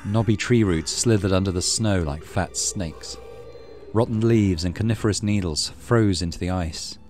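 A man's voice: low voice, normal speed, darkish tone, faint music behind. A man hosting a let's play, reading a horror visual novel aloud with dramatic storytelling and muttered asides. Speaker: gaming channel host.

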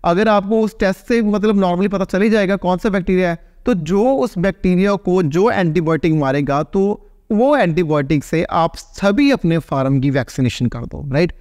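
Man in his 30s, vet explainer to camera, fairly high voice, dry close sound; easygoing and conversational. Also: expressive, normal speed, hardly any pauses, darkish tone, dry recording. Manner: conversational, keeps adding a checking "right?". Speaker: veterinarian answering viewer questions. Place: small plain indoor room, seated.

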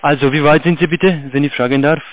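A man with a foreign accent, not a native German speaker, giving halting phone instructions in broken German as a call-centre agent. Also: on the high side, steady tone, slight background noise. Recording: narrow, tinny phone line.

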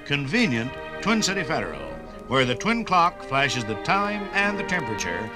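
An American man delivers a 1950s radio advertisement in a conversational style. His voice is fairly high for a man and moves with lively intonation. Music plays in the old vinyl broadcast recording. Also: normal speed. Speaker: radio host reading sponsor message.

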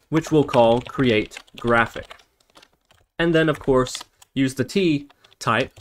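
A man narrating a step-by-step programming screencast as an instructor, explaining and thinking aloud as he works. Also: mid-range voice.

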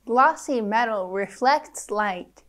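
A young woman narrates an educational script for children. Her voice sits fairly high and she goes very slowly, pronouncing each phrase carefully and leaving pauses between them. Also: lively intonation, loud music behind the voice. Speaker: presenter of a children's science lesson.

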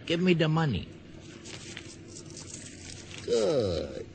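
Shrewd suspicious trader, radio drama, high-pitched, slow, needling questions, grasping. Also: male voice, huge pitch swings, vocal fry, a little mellow, slight background noise, full character voice. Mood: needling, demanding. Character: suspicious, grasping male island supply trader.

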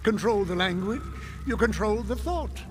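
Senior demon mentor: high, swooping voice, pompous and scheming, radio drama with music. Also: male voice, expressive. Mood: smug instructing. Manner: pompous, slow, deliberate.

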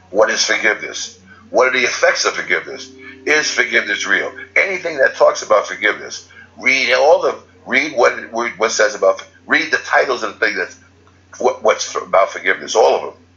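A man's voice: on the low side, bright, forceful tone, muffled recording. A man giving an unscripted spiritual talk as a teacher, rambling and correcting himself as he goes. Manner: rambling, restarts and self-corrections.

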